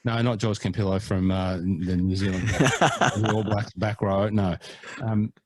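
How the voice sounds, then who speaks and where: A man's voice: fairly low pitch, fairly even intonation, a little slow, no echo. Playful podcast man, dry close sound. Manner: playful, mock-earnest, hams up foreign pronunciations. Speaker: podcast co-host. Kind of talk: casual chat.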